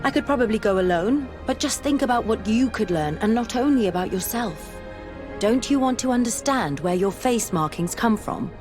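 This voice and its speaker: A woman's voice: on the high side, lively intonation, normal speed, over music, lightly acted. An actress playing a keen, curious young woman scholar in a fantasy video game, speaking earnestly and articulately. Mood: eager. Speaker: companion character. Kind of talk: fantasy game dialogue acting.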